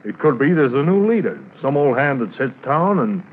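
A man's voice: medium pitch, sing-song, moderate pace, lightly acted. A man playing a determined, authoritative Mountie sergeant in a 1950s radio drama on an old radio recording. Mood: urgent, businesslike. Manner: authoritative, clipped. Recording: tinny, hissy 1950s radio transcription.

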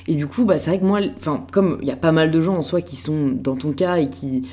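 A woman hosts a podcast in a very low, mellow voice. She asks long, casual questions and pauses on fillers as she goes. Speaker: podcast host. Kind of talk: casual interview chat.

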